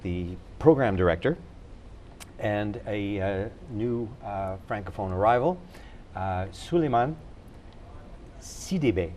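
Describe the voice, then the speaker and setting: Low-voiced guy hosting a local tv chat, jokey and folksy, lots of uhs, faint noise behind. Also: lively intonation, slow pace, long pauses, darkish tone. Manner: folksy, joking, with frequent fillers. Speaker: TV talk show host.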